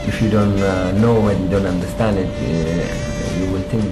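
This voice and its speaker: A man's voice: deep voice, normal speed, roomy sound, loud music behind the voice. A man giving thoughtful interview answers, repeating words as he thinks.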